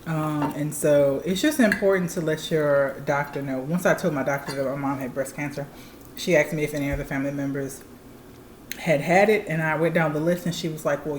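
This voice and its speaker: A woman's voice: sits low, fairly even intonation, moderate pace, reverberant, slight background noise. A woman in her thirties chatting candidly and explaining things plainly to viewers as a channel co-host. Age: 30s. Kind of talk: casual chat.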